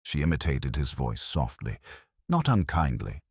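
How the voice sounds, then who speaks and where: A man with an extremely deep voice narrates a detective story in the first person. His delivery is measured and reflective, with a literary turn and lively movement in pitch. The sound is dry, with very little room around it. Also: vocal fry, breathy edge, dry recording.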